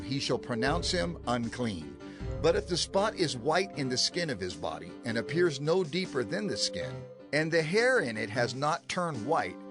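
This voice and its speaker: A man reading scripture as a scripted audio Bible narrator, solemn and measured, with emphatic phrasing. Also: mid-range voice. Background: background music.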